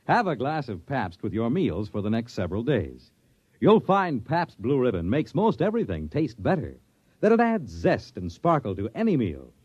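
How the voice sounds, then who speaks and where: Dramatic vintage radio announcer, lively male voice. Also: mid-range voice, moderate pace, slightly rough, no echo. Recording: muffled old radio broadcast with music.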